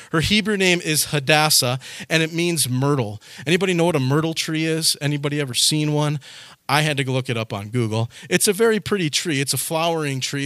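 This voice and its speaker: A pastor delivering a sermon in a relaxed, chatty manner, often repeating words as he gathers his thoughts. His voice sits a little high for a man. The sound is dry, with little room echo. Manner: conversational, folksy, with frequent repetitions and asides.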